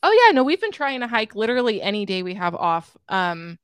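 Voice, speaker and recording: A woman's voice: mid-range voice, sing-song, fairly bright tone, smooth, dry recording. Encouraging woman host, dry sound. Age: 30s. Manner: encouraging, full of quick affirmations. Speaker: podcast co-host. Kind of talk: casual podcast chat.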